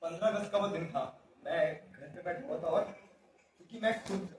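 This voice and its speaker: A man from Kolkata giving an earnest, halting personal account to an audience, slipping into English at times. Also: high-pitched, a loud crowd. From Kolkata, India. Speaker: writer and director of the play. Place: stage venue, speaking to an audience. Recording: echoey, distant mic, faint noise.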